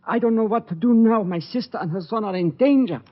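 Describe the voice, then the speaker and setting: An actor playing an anxious, courteous, secretive gunsmith uncle in an old radio drama, speaking formally and hesitantly. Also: male voice, sits high, a little fast, close to an everyday voice. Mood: pleading. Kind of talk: 1940s-50s radio drama acting. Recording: muffled old radio recording.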